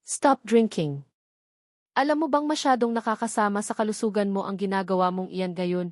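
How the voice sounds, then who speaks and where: A woman's voice: mid-range voice, moderate pace, no room sound, clean studio sound, close to an everyday voice. A woman voicing a possessive, serious, commanding young male fiancé in a romance audiobook. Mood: serious, firm. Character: possessive, stern young billionaire fiancé, man.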